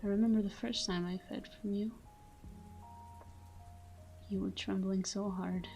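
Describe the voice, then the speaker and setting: A woman playing a tender, melancholy vampire lover in an intimate ASMR monologue, speaking softly and slowly in a breathy half-whisper, close to the microphone. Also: medium pitch, little pitch movement, lo-fi sound. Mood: hushed, reminiscing. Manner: slow, hushed, wistful delivery. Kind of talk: intimate ASMR sleep-aid roleplay monologue. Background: soft background music.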